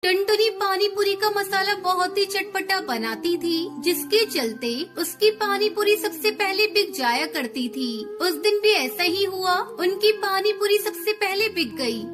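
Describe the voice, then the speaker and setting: A woman's voice: extremely high pitch, lively intonation, a little slow, few pauses. A woman reading scripted narration for a cartoon tale. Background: music.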